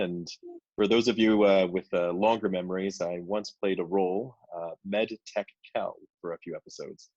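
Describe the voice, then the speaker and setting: A man's voice: fairly low pitch, a little mellow, no echo. A man, a voice actor, introducing himself casually and hesitantly on a video call. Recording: dry, dull lo-fi call audio.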